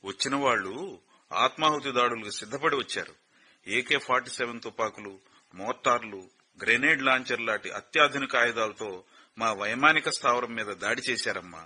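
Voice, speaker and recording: An actor playing a grave male officer who breaks hard news gently over the phone in a radio play. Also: mid-range voice, slightly rough. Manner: measured, gentle, calls the girl "mother" fondly. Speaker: officer on a phone call, colleague of the father. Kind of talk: radio drama acting. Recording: radio broadcast.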